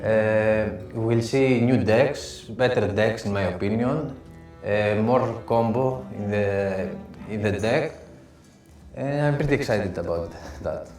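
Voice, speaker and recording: In a very reverberant room over light guitar music, a Greek-accented male gamer answers interview questions. He speaks slowly and takes his time. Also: on the low side, a little mellow. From Greece. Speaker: esports player. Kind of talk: pre-recorded interview answers. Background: plucked guitar music.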